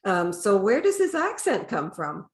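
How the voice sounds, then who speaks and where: A woman's voice: mid-range voice, wildly swooping pitch, measured pace. Woman hosting an interview podcast, echoey. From Canada. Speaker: podcast host and HR consultant.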